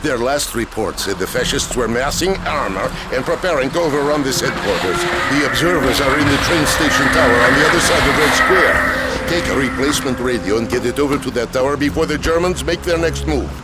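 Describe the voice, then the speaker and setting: A man playing a stern Soviet general in a war game, giving a formal, commanding briefing over loud music. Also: medium pitch, animated, fairly quick, lightly acted. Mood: grave, commanding. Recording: loud music and vehicle noise behind.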